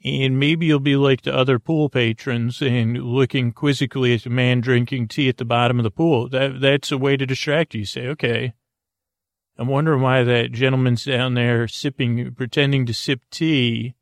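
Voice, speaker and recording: Gravelly man rambling a sleepy story. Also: medium pitch, steady tone, no room sound. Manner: meandering, halting, full of restarts and uhs. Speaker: podcast host. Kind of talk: rambling bedtime story to put listeners to sleep.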